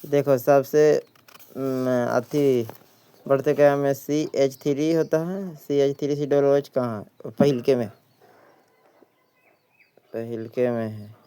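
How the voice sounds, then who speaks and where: Slow, clear male exam tutor. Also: mid-range voice, fairly even intonation, mellow. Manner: deliberate, repeats answers. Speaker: exam coaching teacher. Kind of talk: reading out practice questions and answers.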